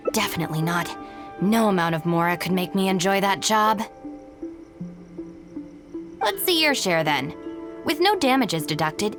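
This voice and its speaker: A woman voicing a guarded, pragmatic young woman in a video game, speaking in a dry, matter-of-fact way. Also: on the low side. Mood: dry, puzzled. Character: young woman, guarded, pragmatic, no-nonsense masked gang deputy. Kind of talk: video game character acting. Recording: background music under the voice. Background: music.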